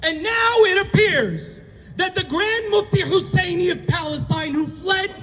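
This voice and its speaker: Fiery man shouting at rally. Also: very high-pitched, expressive, unhurried, in a large hall, faint music behind. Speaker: activist. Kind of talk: outdoor rally speech. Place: outdoor street rally.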